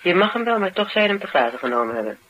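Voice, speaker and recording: A bright, higher-voiced probing questioner. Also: male voice, big pitch swings, very fast, highs cut off, slight background noise, exaggerated voice. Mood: wry, curious. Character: probing, attentive man questioning a tough informant. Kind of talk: naturalistic radio drama dialogue.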